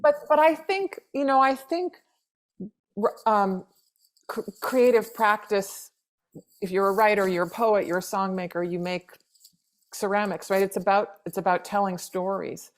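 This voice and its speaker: A woman's voice: mid-range voice, a little slow. A woman in her fifties, a playwright raised in the United States, talking through her ideas in an interview with many restarts and repeated words. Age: 50s. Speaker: playwright, guest. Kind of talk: reflective interview conversation.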